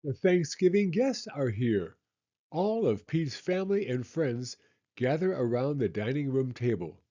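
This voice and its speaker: A man reading a children's picture book aloud with expressive, measured storytelling. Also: medium pitch, lo-fi sound.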